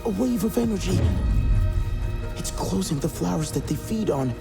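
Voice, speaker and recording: Young alien hunter, creaky and earnest. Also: male voice, high voice, animated, normal speed, music in the background. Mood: distressed, urgent. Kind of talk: dramatic video game acting.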